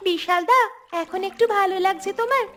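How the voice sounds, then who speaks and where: A woman playing a kind, caring little village girl in a cartoon, earnest and gentle. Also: extremely high pitch, a little fast, a little hiss or hum. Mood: caring, reassuring. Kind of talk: cartoon dialogue.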